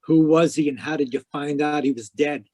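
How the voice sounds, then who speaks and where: Man acting a higher-voiced hardboiled radio detective. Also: steady tone, brisk, darkish tone, natural voice. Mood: tough, pressing. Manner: terse, cynical, slangy delivery. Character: tough, wisecracking hardboiled private investigator narrating his case. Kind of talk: 1940s radio noir acting.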